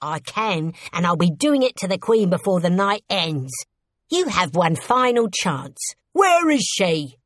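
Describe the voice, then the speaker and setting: A woman's voice: lowish voice, expressive, unhurried, dry recording, clean studio sound. A woman voicing a bitter, scornful rebel in a storybook, threatening and emphatic with contempt. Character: scornful, menacing lower-class rebel intruder, bitter at tyranny.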